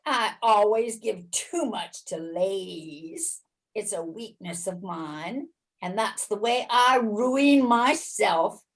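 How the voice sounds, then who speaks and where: A woman's voice: medium pitch, expressive, takes it slowly. A woman playing a sly, grudging old male rag dealer in a dramatic story reading, with a wry theatrical delivery. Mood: grudging, smug.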